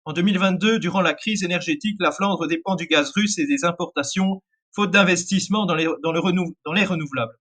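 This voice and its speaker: A man's voice: high-pitched, fast, a bit bright. A man reading an alternate-history narration, often stumbling and correcting himself. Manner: reads with frequent restarts and self-corrections.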